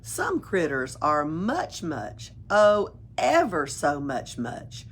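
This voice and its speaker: A woman reading a children's storybook aloud in an expressive, playful way, adding her own asides. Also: low-pitched, slow, slight background noise. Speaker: story reader at a library. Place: library.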